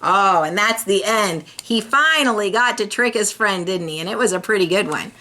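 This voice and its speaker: A woman reading a storybook aloud for children in an expressive, teacherly way. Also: medium pitch, normal speed. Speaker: storybook reader. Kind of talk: animated read-aloud for children.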